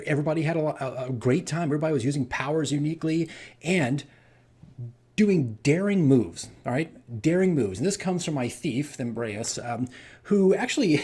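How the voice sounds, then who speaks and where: A man's voice: a little high, a little mellow, husky. Man rambling through a casual story. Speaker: tabletop game master recounting his campaign.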